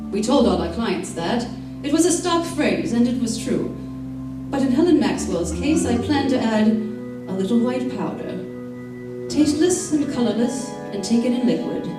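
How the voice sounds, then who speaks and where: A woman's voice: fairly high pitch, animated, unhurried, music in the background. A woman playing a composed, scheming salon owner in an old-time radio play on stage. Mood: coolly scheming. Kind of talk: old-time radio theater acting, narration and dialogue. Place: theater stage, recorded from the audience. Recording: very echoey theater, distant mic.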